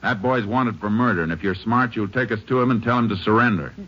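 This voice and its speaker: An actor playing a steady, firm Texas Ranger in a radio western, terse and plain-spoken. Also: male voice, fairly low pitch, fast, lightly acted. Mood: stern, pressing. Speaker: lead of the show, also narrating. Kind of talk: 1950s radio western acting and narration. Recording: muffled old radio recording, faint hiss.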